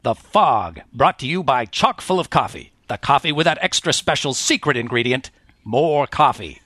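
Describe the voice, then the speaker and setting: A man's voice: medium pitch, animated, normal speed, pushed and bright, breathy edge, no echo. A man playing an enthusiastic sponsor announcer in a parody of old-time radio coffee advertising. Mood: upbeat, salesy.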